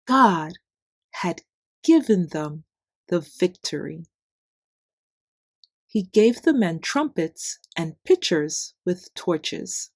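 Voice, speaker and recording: A woman reading a children's bedtime story in simple, deliberate phrases with expressive emphasis. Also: on the low side, no room sound, clean studio sound. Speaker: children's Bible story narrator. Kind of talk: scripted read-aloud bedtime story narration.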